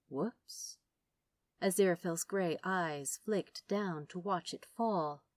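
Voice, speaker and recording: A woman narrating fan fiction as a dramatic audiobook, with expressive, theatrical delivery and dramatic pauses. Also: on the low side, no room sound. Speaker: podfic narrator.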